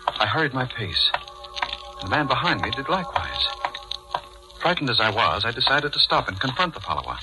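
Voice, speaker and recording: A man's voice: medium pitch, very animated, brisk, long pauses, close to an everyday voice, low-quality recording. A man acting in a 1940s radio drama as a guilt-ridden, haunted judge, speaking with intense, dramatic delivery on an old recording with music. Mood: brooding, tense. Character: guilty, haunted judge narrating his crime, increasingly panicked.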